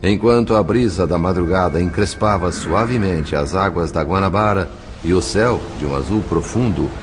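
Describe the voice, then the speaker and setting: A Brazilian man playing an earnest, reverent spirit who narrates an audio drama in a formal, measured, literary way. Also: sits low, background noise, close to an everyday voice. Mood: reflective, reverent.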